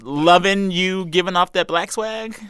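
A man's voice: high voice, a little slow, fairly bright tone, clear, resonant tone, dry recording, studio quality. A man hosting an interview in a casual, chatty way, playful and full of slangy exclamations. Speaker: podcast and radio interview host.

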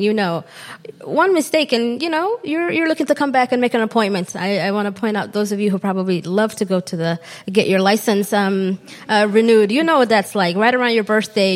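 Earnest woman in a reverberant hall. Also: medium pitch. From Somalia. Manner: earnest, searching for words with restarts. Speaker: panel guest from a refugee aid group. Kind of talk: panel discussion.

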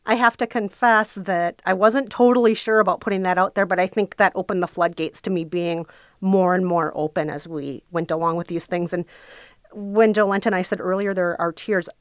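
A woman chatting as an interview guest in a candid, expressive, informal way. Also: fairly low pitch, fairly quick, clean tone, no echo, clean studio sound. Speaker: podcast co-host being interviewed. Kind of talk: casual chat.

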